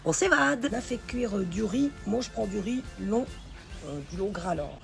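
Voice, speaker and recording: A woman talks through a recipe in a chatty, familiar way, her voice hoarse and gravelly. She keeps the viewer close with pet names, and music plays behind her. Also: medium pitch, animated, moderate pace. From northern France. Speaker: home cook. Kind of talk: casual cooking vlog chat. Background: background music.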